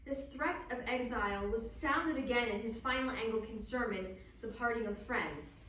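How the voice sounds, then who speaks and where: Distant echoing hall, faint noise, woman narrator, fairly high voice, scripted dramatic narration. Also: normal speed, fairly bright tone.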